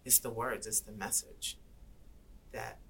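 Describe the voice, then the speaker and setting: A woman speaks earnestly and reflectively in an interview, her voice gravelly and low yet bright and forceful. She sometimes drops to soft, near-whispered asides. A faint hiss of background noise sits under her voice. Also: steady tone, measured pace. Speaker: guest rapper and activist. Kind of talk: conversational interview.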